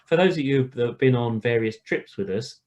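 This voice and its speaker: A man's voice: mid-range voice, a little fast, a little mellow, muffled recording. A man leading an informal online lesson as an instructor, speaking in a reassuring, casual manner. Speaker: flight instructor leading a ground school. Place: online video call.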